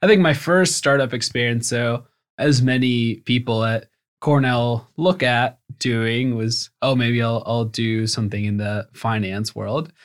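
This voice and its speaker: A man's voice: medium pitch, steady tone, unhurried. Reflective young man from Venezuela. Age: young adult. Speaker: startup founder and guest. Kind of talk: casual interview.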